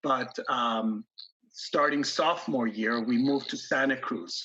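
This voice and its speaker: A man in his fifties who grew up in Iran, telling his story as an interview guest in a halting way, with many pauses and restarts. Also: mid-range voice, pushed and bright, slightly rough, dull audio. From grew up in Iran, born in the US. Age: 50s. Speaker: guest investor.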